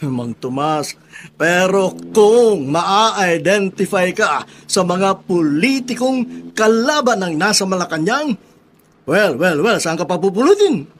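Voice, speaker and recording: Radio drama casting: proud Filipino ex-colonel, high-pitched, slow, emphatic, strict father type. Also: expressive, a bit bright, a little hiss or hum, heavily acted. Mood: proud, authoritative. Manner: emphatic, deliberate, repeats words.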